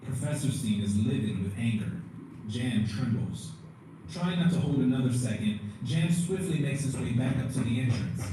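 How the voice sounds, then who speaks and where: A man's voice: mid-range voice, expressive, moderate pace, warm tone. A man giving dramatic scripted narration for an audio play. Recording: echoey, distant, noisy livestream playback.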